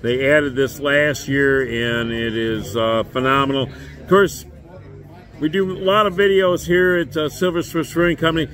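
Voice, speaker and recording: An older man in his sixties giving a relaxed, rambling beer-garden tour, filmed on a handheld phone outdoors. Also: on the high side, normal speed. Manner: relaxed, rambling, many fillers. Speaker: beer review vlogger. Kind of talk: casual vlog walk-through. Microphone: phone camera at arm's length.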